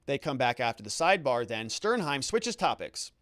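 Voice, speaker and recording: A man's voice: medium pitch, sing-song, moderate pace, a bit bright, dry recording, studio quality. A man hosting a legal commentary show, recapping a trial and reading quotes aloud with emphatic, theatrical stresses.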